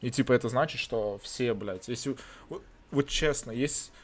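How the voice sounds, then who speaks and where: A man's voice: mid-range voice, normal speed. A man from Russia in casual chat, talking bluntly and swearing often. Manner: swears a lot, animated and blunt. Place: lives in Israel.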